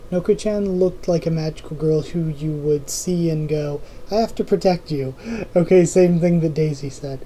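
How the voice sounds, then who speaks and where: A hoarse host reading a novel aloud in a casual way, often restarting and correcting lines and adding quick asides. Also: medium pitch, dark tone, a little hiss or hum, rough recording.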